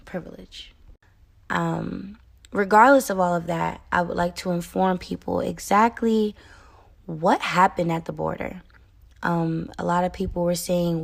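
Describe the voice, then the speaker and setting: A woman's voice: fairly low pitch, creaky. A young American woman hosting a solo podcast, talking in an earnest, emphatic way with frequent "um" and "okay?". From United States. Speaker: podcast host.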